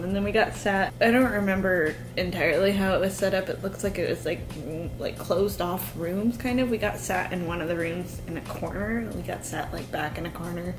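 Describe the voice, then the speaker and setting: Chatty young woman rambling into her camera. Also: on the low side. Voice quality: slightly raspy. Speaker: vlogger. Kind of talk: casual vlog chat. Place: small home kitchen, indoors. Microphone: camera on a desk, facing her.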